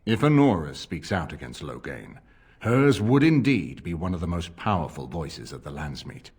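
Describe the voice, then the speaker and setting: A man playing a shrewd middle-aged nobleman in a video game, speaking in a formal, deliberate and eloquent way. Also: low-pitched, big pitch swings, darkish tone, slightly rough, heavily acted. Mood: measured, calculating.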